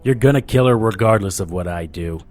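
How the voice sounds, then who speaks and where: Low-voiced hard-boiled investigator played by a man. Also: a little fast, darkish tone, faint music behind, natural voice. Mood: pleading, defiant. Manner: hard-boiled, dramatic storytelling. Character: haunted, dogged supernatural investigator narrating his nightmare. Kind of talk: first-person audio drama narration.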